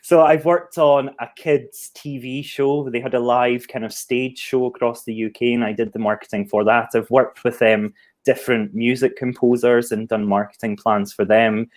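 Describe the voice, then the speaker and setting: Scottish man guest, casual and chatty, quick to laugh, on a video call. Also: mid-range voice, steady tone. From Scotland. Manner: chatty, self-deprecating, laughs easily. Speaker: guest, head of marketing.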